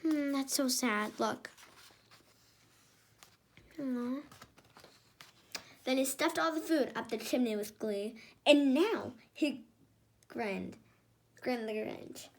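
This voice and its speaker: A woman with a high, light-pitched voice reads a children's story aloud. She is expressive and chatty, slipping between the text and casual comments on the pictures. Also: takes it slowly, long pauses, slight background noise.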